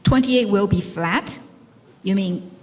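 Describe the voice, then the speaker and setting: A woman's voice: mid-range voice, takes it slowly, darkish tone, slight background noise. Woman moderating in a reverberant hall. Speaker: conference call moderator. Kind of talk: restating and fielding questions on an earnings call.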